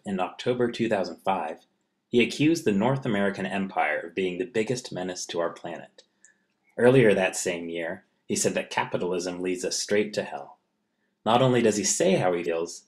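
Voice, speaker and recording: Low-pitched man reading a script. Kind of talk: scripted narration.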